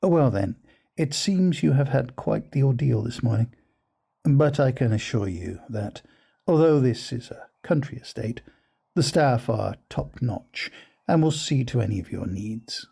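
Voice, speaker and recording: A man playing a coldly ambitious aristocratic gentleman in an audio drama, speaking in a formal, suave, measured way. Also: mid-range voice, expressive, vocal fry, breathy edge, exaggerated voice. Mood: polite, veiled menace. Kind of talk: scripted audio roleplay drama.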